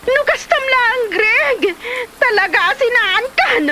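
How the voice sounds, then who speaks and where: A woman's voice: very high voice, slow, pushed and bright, exaggerated voice. A woman playing a distraught, fretful wife and mother in a comedy drama, plaintive and exclamatory, with a Filipino accent. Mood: frantic, accusing. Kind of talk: Ilocano comedy drama acting. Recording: faint-to-clear noise, rain-like ambience.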